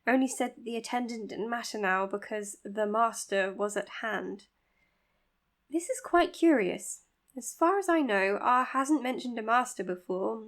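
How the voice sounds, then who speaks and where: A woman's voice: on the high side, lightly acted. An actress playing an overworked, self-blaming woman doctor in a naturalistic audio drama, speaking precisely, formally and earnestly. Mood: clinical, curious. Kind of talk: naturalistic audio drama, dictated case notes and dialogue.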